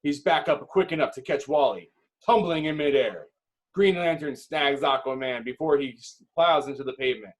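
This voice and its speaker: A man's voice: medium pitch, normal speed, poor audio. A man reading screenplay stage directions as the dramatic narrator of a table read.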